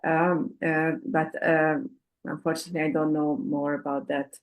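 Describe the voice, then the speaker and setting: A woman, answering audience questions in a halting way, full of ums and uhs, over a video call. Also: low-pitched, little pitch movement, rough recording. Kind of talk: hesitant Q&A answers, many fillers.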